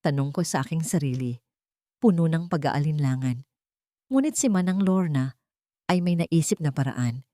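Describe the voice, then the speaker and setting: A woman's voice: low-pitched, lively intonation, normal speed, long pauses, warm tone, no echo, clean studio sound. A woman reading a scripted first-person dramatic story as an audiobook narrator.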